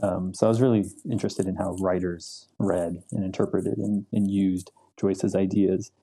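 Deep flat-voiced young scholar, a man. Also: normal speed, slightly rough. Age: young adult. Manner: thoughtful, hesitant with many ums and repeats. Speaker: guest, literature professor. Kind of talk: interview answers.

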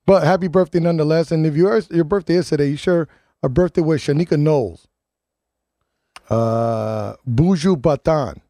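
Man, morning radio host, casual rambling chat, warm tone, dry studio mic. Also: on the high side, moderate pace, dry recording. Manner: chatty, wanders onto tangents. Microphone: studio microphone.

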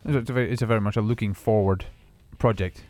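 Fast-talking rambling male radio host. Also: fairly low pitch, steady tone, darkish tone, no room sound, faint music behind. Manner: rambling, restarts questions. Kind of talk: casual radio interview.

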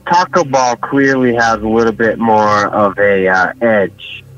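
A man's voice: fairly low pitch, a little slow, fairly bright tone, muffled recording. A man voicing a confused radio caller who rambles and stumbles over his words. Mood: rambling. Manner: rambling, bumbling. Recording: distant mic, music behind.

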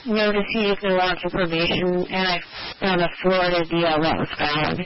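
Dull police radio, clear static, dispatcher, medium pitch, records report. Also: a little fast. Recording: muffled, noisy police radio channel.

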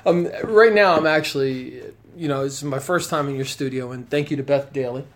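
A man's voice: medium pitch, a little fast. A man interviewing a guest in a studio, talking conversationally in an enthusiastic, encouraging way. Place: weaving studio, indoors.

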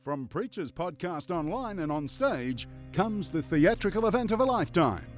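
Guy doing dramatic trailer voiceover, swoopy delivery, on the high side, music under. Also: big pitch swings, normal speed. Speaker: promo announcer. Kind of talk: dramatic scripted trailer narration.

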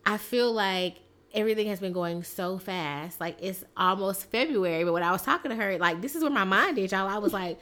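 A woman with a raspy, hoarse voice from a cold, chatting as a podcast co-host and telling stories in a lively, filler-heavy way. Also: mid-range voice, brisk, hardly any pauses. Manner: chatty, animated storytelling with many fillers. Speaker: teacher. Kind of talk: casual chat.

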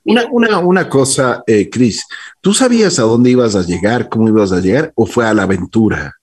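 A man's voice: mid-range voice, animated, brisk, breathy edge. A man hosting a casual interview. He prompts his guest with short reactions and follow-up questions.

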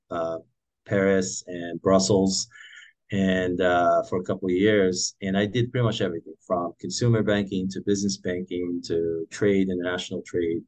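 A man's voice: low-pitched, little pitch movement, normal speed, darkish tone, rough recording. A man in his forties from Morocco, telling his career story as an interview guest with many fillers and restarts. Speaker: guest entrepreneur.

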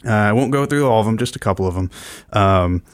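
Male radio co-host, lower register, close dry sound. Enthusiastic, informal explainer who pauses and repeats words. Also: dry recording. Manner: hesitant, frequently pausing and repeating words. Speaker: radio co-host and festival programmer. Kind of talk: informal radio program talk, explaining events.

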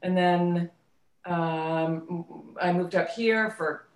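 Low-voiced American woman in a roomy space. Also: very slow. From United States, suburbs of Washington DC, then California. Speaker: interview guest, theater actress and director. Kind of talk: casual interview, recounting life story. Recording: roomy, echoey sound.

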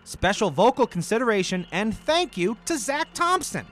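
A man's voice: high-pitched, big pitch swings, normal speed, a bit bright. A man playing an affable, placating buddy and announcer in a comic radio play, coaxing as he goes. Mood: affable announcing. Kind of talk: comic radio play acting, credits reading.

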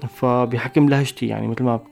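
A man with a Syrian Arabic accent, a musician giving a thoughtful interview, pausing and restarting mid-phrase. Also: medium pitch, steady tone, gravelly, a little mellow, faint music behind. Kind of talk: reflective interview talk.

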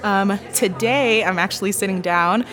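A woman's voice: mid-range voice, normal speed, fairly bright tone, faint music behind. A woman hosting a podcast, chatting casually and upbeat with a lot of fillers and giggles. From Colorado. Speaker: podcast host. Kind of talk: casual interview chat. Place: expo hall at a live recording.

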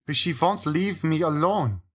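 A woman's voice: sits low, lively intonation, a little slow. A woman reading an audiobook, voicing a timid, odd little old man who pleads and cowers. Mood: frantic pleading.

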